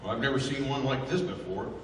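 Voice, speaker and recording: A man's voice: mid-range voice, fast talker, close to an everyday voice. A man acting a tough, plainspoken adventurer's sidekick in the informal manner of a 1930s-style radio play. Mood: startled. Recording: echoey hall from far off, faint noise.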